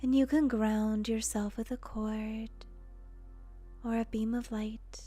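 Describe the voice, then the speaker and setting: A woman guides a meditation very slowly, in a hushed, breathy voice with a very even, nearly unvarying pitch. Her delivery is soothing and deliberate, often sinking toward a whisper, with faint music behind her. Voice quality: soft, breathy, often trailing into near-whisper. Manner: soothing, gentle, deliberate with pauses. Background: soft music.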